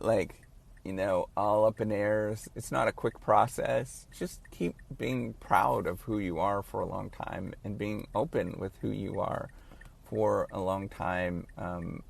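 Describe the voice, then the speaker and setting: Halting, stuttering man with a gravelly low voice. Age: late 30s. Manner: halting, stumbling, earnest encouragement. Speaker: professor giving advice. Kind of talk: casual spoken monologue.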